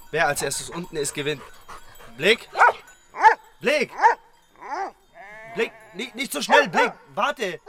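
An actor in a German radio drama playing a simple, friendly farmhand who chats to his dog. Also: mid-range voice. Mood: playful, calling. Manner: simple, chatty. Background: farm animals, sheep and dog.

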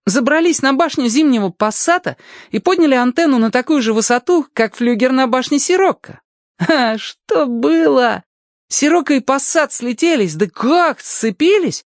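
Young boy, storybook: eager, trusting chatterbox, pitched way up and swinging excitedly, close dry sound. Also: normal speed, no room sound. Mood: excited boasting. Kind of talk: acted audiobook dialogue.

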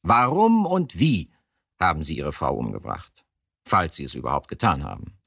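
A male police detective in a radio drama, deep and lively in pitch. He is exasperated and dutiful, pressing his point with pedantic insistence. The sound is close and dry. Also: moderate pace, creaky, breathy edge, dry recording, full character voice. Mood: impatient, pressing. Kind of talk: German radio drama acting.

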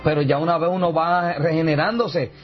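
A man's voice: fairly high pitch, steady tone, fairly quick. Affirming male co-host on gospel radio. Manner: affirming with amens, then tells stories. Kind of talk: religious radio talk. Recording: dull radio sound with music behind.

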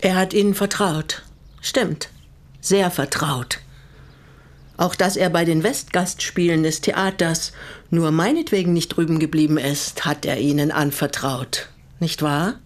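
An actress playing a guarded, quietly reproachful older woman in a radio play, speaking in a measured, pointed way. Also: deep voice. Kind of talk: German radio drama dialogue.

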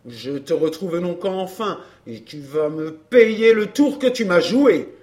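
A gruff fairground man from a storybook, acted out by a woman reader. The voice sits low, with a blustering, colloquial edge. He sounds resentful and uneasy, a jilted man full of threats. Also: measured pace. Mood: furious. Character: gruff, angry jilted fairground man, anxious yet vengeful.

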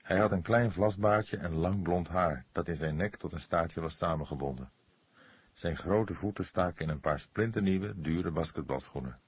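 Male storyteller for crime fiction: low, husky voice, close and dry sound. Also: moderate pace, no room sound. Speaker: audiobook narrator. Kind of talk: scripted narration of a detective novel.

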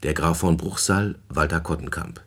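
Male, very low pitch, formal announcer, 1950s radio credits. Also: normal speed, a bit bright, breathy edge.

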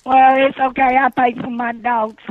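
High, screechy woman on a dull phone line. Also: level tone, measured pace, no room sound. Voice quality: screechy, raspy. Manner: irritated, threatening. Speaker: motel guest receiving a prank call.

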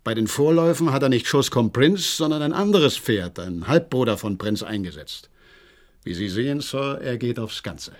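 An agitated horse trainer played by a male actor gives his account in a radio drama. His mid-pitched voice swings widely as he reports eagerly and dramatically, always deferential to his betters. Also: lively intonation, normal speed, natural voice. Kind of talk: German radio play acting.

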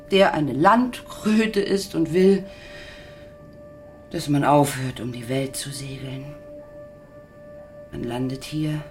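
A woman's voice: sits low, a little mellow. A woman playing an irritable, worn-out middle-aged working-class mother in a German radio drama, volatile and theatrical. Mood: bitter, wistful.

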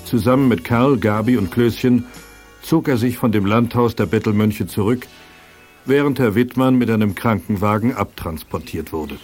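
A man's voice: fairly low pitch, moderate pace, a little mellow, soft background music. A man reading scripted narration as the storyteller of a detective audio drama.

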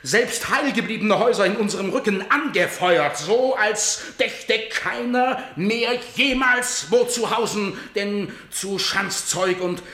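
An actor playing a grim messenger of defeat in a radio drama, declaiming solemn stage verse with a rhetorical manner. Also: male voice, high-pitched, lively intonation, pushed and bright, reverberant, exaggerated voice. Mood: agitated, horrified. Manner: solemn, rhetorical, measured delivery. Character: grim male battle messenger bearing news of catastrophic defeat.

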